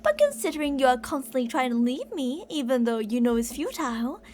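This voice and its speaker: Obsessive female yandere captor played by a woman, ASMR roleplay: high, very animated, theatrical, sugary endearments laced with menace. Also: fairly quick, slight background noise. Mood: musing, possessive. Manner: theatrical, teasing sing-song with endearments and threats. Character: older obsessive yandere woman kidnapper, possessive, menacing, sweet-talking.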